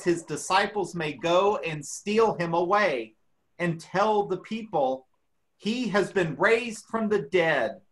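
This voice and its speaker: Slow, measured woman reading scripture. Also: on the low side, rough recording. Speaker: scripture reader.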